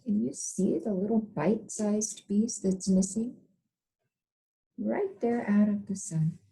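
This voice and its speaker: A woman reading a storybook aloud as a kindergarten teacher, expressive and pausing to ask young children questions and point things out. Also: mid-range voice, vocal fry, rough recording.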